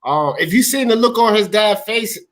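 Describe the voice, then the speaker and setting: A man with a notably high voice and an American accent hosts live sports talk. He is blunt and slangy, leaning hard on his points and swearing freely. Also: animated, normal speed. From United States. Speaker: talk show host.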